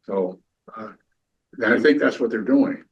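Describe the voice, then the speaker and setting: A man's voice: on the low side, unhurried, muffled recording. An older man, a retired navy captain, answering audience questions at a lecture and often repeating words as he starts a sentence.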